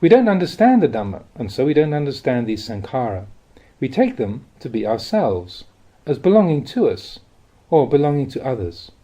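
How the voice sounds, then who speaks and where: A man reads a spiritual teaching aloud in a measured, expressive, explanatory way, like an audiobook. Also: mid-range voice, breathy edge. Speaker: reader of Buddhist teachings. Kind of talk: audiobook reading of a spiritual talk.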